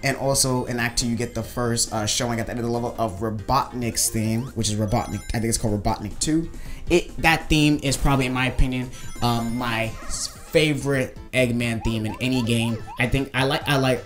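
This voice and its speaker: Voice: mid-range voice, steady tone, brisk. Someone giving casual, gushing video game commentary in an enthusiastic, emphatic, rambling way. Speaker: video creator reviewing a game. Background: game music.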